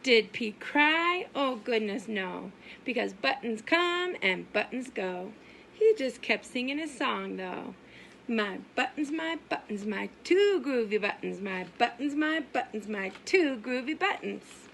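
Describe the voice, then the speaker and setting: A woman preschool teacher reading a story aloud for young children in a sing-song, exaggerated way, asking questions and pausing. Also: fairly high pitch, lively intonation, creaky, fairly bright tone, a little hiss or hum. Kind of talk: animated children's story time read-aloud.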